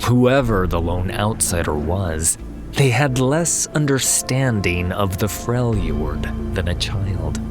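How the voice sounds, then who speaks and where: A man narrating a fantasy audiobook in a dramatic, measured and solemn scripted style. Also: fairly low pitch. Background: music.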